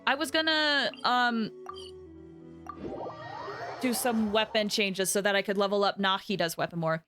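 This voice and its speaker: A woman livestreaming a game, chatting casually with viewers in a bubbly, expressive way as she thinks aloud. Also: mid-range voice, measured pace. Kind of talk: casual gameplay chat with viewers. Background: game music.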